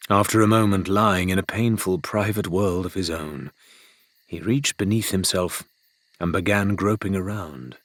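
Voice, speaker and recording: A man reading an adventure audiobook in a measured, expressive storytelling manner, with a husky voice. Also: fairly low pitch, no echo. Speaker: audiobook narrator. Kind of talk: scripted dramatic audiobook narration.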